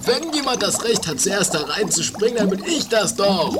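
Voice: medium pitch, big pitch swings, normal speed, very noisy, close to an everyday voice. A performer playing a self-important, blustering rich man in an improvised trashy comedy radio play. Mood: pompous. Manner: pompous, insistent. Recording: music under amateur 1980s radio play.

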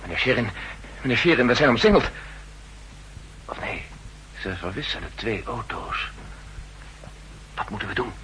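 A man's voice: medium pitch, animated, normal speed, long pauses, fairly bright tone, lightly acted. An actor playing a nervous, suspicious official in a 1960s radio play, formal and courteous in his speech. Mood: anxious. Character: nervous, suspicious male official plotting in secret. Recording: noisy old 1960s radio recording.

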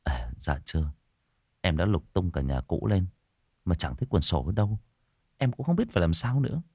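A man's voice: low-pitched, unhurried, a little mellow, no room sound, clean studio sound. A man voicing a troubled young man in an audiobook, speaking in an earnest, weary and respectful way. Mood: dejected, respectful.

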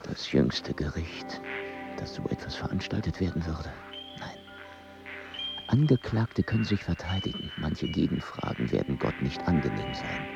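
A bitter, brooding genius with a gravelly, very deep voice. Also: male voice, expressive, moderate pace, muffled recording, loud music behind the voice, exaggerated voice. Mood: defiant musing. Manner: whispered inner monologue, bitter and proud. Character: brooding male mathematician weighing suicide by poison. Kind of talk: radio play acting.

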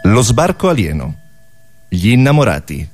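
Lowish, expressive male sketch announcer. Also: moderate pace. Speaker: comic performer announcing sketches.

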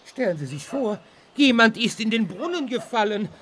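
A man's voice: high voice, very animated, moderate pace, fairly bright tone. An actor playing a fussy, easily alarmed male schoolteacher in a children's radio play, agitated and helpless. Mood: anxious.

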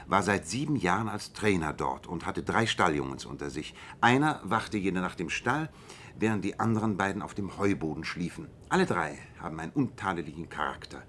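Radio-drama detective: low-pitched, analytical, articulate and sure of himself, over audible noise. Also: male voice, fairly bright tone. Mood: matter-of-fact, explanatory. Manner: precise, articulate, lecturing. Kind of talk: German radio play acting.

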